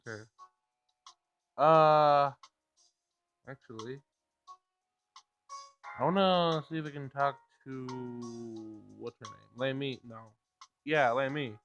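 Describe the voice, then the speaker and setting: A man's voice: mid-range voice, slow, pauses often, a bit bright, muffled recording, soft background music. A man casually reading a visual novel aloud and commenting as he plays. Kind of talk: casual let's play read-aloud and commentary.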